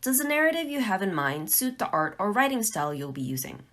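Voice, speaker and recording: A woman's voice: mid-range voice, sing-song, fast talker, a bit bright. A woman presenting a scripted tutorial as a narrator.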